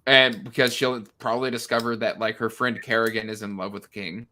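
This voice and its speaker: Bright, animated man chatting about books. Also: mid-range voice, fairly quick, slight background noise. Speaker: book discussion panelist. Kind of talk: casual book chat. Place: indoors on a livestream video call.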